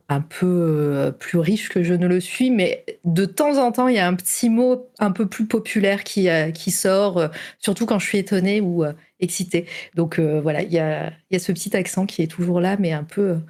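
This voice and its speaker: A woman's voice: lowish voice, moderate pace, warm tone. Chatty woman playing a tabletop game. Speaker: player. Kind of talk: casual tabletop play.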